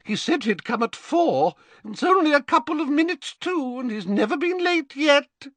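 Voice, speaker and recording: A man voicing a fussy, vain old rich woman who talks in a shrill, simpering and imperious way. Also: big pitch swings, normal speed, a bit bright, no echo, full character voice. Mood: impatient.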